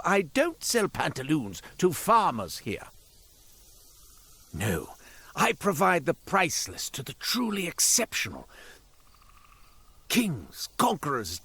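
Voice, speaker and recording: A man's voice: high voice, fairly bright tone, no room sound, full character voice. A man playing a flamboyant devilish roadside merchant in a video game, with florid, theatrical, ingratiating sales patter. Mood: flattering, gushing. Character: flamboyant devilish roadside curio merchant, male, wheedling and grandiose.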